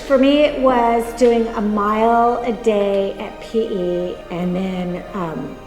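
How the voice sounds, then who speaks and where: A woman speaking earnestly and haltingly about her running community in an interview. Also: mid-range voice, steady tone, roomy sound, big-room sound, music in the background, poor audio. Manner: earnest, halting, many fillers. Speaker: interviewee, runner. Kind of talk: heartfelt interview talk.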